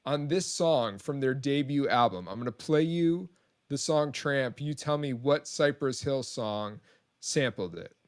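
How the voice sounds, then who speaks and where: A man's voice: mid-range voice, unhurried. A man with a nasal voice hosting a live hip hop trivia stream, talking casually, on a phone. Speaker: host.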